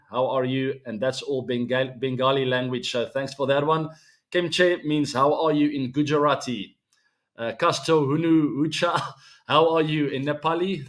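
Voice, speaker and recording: Relaxed South African man hosting a show. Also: mid-range voice. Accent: Afrikaans-accented South African English. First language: Afrikaans. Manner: relaxed, chatty, many fillers, addresses fans as guys. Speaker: show host. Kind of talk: casual sports chat to viewers.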